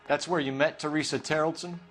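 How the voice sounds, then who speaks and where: An actor playing a stern, probing 1940s homicide detective in a video game, clipped, formal and accusatory. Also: male voice, on the high side, steady tone, a little fast, a bit bright, soft background music, natural voice. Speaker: police detective. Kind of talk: video game cutscene interrogation acting.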